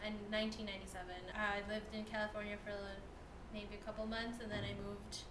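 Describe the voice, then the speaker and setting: A woman's voice: medium pitch, little pitch movement, brisk. A nineteen-year-old woman from the Philippines, a student, answering interview questions in a chatty way and laughing easily. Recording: echoey room with noticeable noise.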